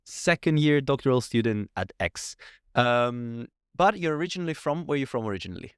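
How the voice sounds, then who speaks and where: A young man hosting a podcast, upbeat and quick with short affirmations as he interviews his guest. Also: mid-range voice, expressive, smooth, dry recording, clean studio sound. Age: young adult. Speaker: podcast host.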